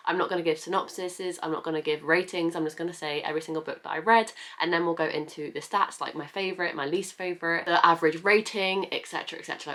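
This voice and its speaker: A woman in her twenties with a cold, sounding congested, chatting casually on her book vlog and listing titles in a steady run. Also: low-pitched. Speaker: book vlogger. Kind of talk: casual reading-recap vlog. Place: at home.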